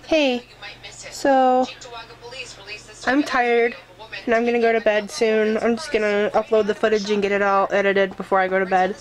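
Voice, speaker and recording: A woman's voice: mid-range voice, flat delivery, normal speed, pauses often, low-quality recording. A woman chatting casually to camera in her daily vlog, sick with a stuffy nose and her voice coming and going, self-mocking and laughing often. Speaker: vlogger. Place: at home indoors.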